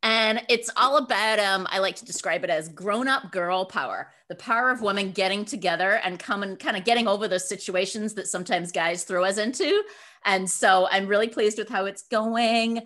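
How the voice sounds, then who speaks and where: A woman's voice: mid-range voice, moderate pace, a bit bright. A woman from Scotland speaking as a guest author in an interview, telling personal stories in an upbeat, effusive, emphatic way. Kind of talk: interview answers, personal storytelling.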